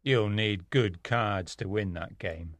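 A man's voice: fairly low pitch, dry recording. Man acting a cold, guarded spy. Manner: cold, slow, measured. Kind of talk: dramatised audiobook dialogue.

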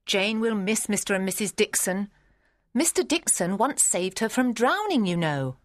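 An actress playing a fond, garrulous aunt in a dramatised period audiobook. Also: female voice, medium pitch, very animated, moderate pace, no room sound, studio quality, natural voice. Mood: chatty. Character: Miss Bates, chatty, fond Regency aunt.

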